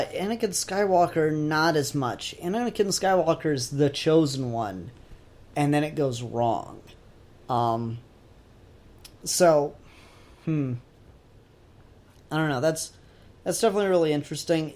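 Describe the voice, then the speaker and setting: A man's voice: a little high, a little mellow. A man talking casually as a podcast host, opinionated and emphatic, swearing freely. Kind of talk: casual pop-culture podcast discussion.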